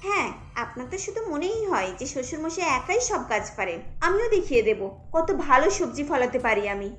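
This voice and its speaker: A woman's voice: high voice, very animated, moderate pace, a bit bright, soft background music. A woman voicing a headstrong, aggrieved young wife and daughter-in-law in a cartoon, with an insistent, complaining manner. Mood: resentful. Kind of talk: animated cartoon story acting.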